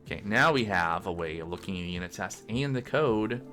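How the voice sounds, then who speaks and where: Low-voiced man thinking aloud while coding. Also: animated, pushed and bright, soft background music. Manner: halting, muttering to himself while working. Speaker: game developer streaming his work. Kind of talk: casual think-aloud coding stream commentary.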